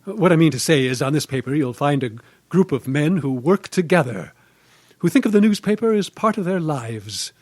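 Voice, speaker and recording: An actor playing a mild, hesitant old newspaper columnist, flustered and deferential, in an old-time radio drama. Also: male voice, on the high side, animated, moderate pace, no room sound. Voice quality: hesitant, stammering when flustered. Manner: genial, meandering, absent-minded. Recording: live stage recording with background noise.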